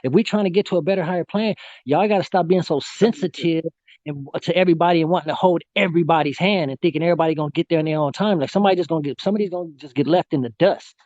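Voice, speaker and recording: A man rants quickly and preachily in a high voice. The sound is dry and muffled like a lo-fi line. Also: a little mellow, dry recording. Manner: rapid ranting, preachy. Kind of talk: casual live-stream chat.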